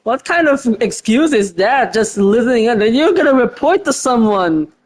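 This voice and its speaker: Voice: medium pitch, big pitch swings, moderate pace. A streamer giving casual gameplay commentary, muttering short reactions to the game.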